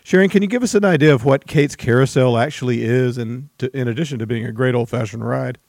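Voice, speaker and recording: A man hosting an interview, introducing and asking questions in a somewhat hesitant, halting manner. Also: medium pitch, vocal fry, a little mellow, no room sound, studio quality. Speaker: interview host. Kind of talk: book podcast interview.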